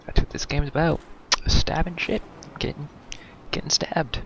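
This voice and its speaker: A man's voice: on the high side, steady tone, a little slow, vocal fry, dull audio. A man giving casual let's play commentary with wry, self-deprecating muttered asides, recorded on a mic too close to his mouth. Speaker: gaming video host. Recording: noisy close mic with breath sounds.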